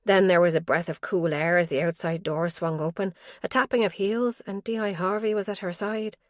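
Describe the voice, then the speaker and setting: A woman narrating an audiobook thriller in a steady, measured way. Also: fairly low pitch, no room sound, studio quality. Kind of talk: scripted narration.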